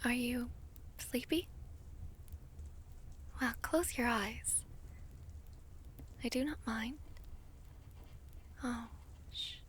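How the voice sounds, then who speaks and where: A gentle, lovesick vampire princess, played by a woman, speaks in a high, breathy half-whisper. Her pace is very slow and her wording formal and tender, as in an intimate romantic audio drama. Faint noise sits behind the voice. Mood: soothing, affectionate.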